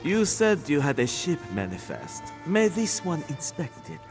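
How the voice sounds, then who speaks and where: A stern, officious cat-man inspector in a fantasy game. His voice sits high and moves around a lot, formal and suspicious, over prominent music. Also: wildly swooping pitch, unhurried, exaggerated voice. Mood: businesslike. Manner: formal, refers to himself in third person. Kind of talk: video game character acting. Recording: loud game music behind.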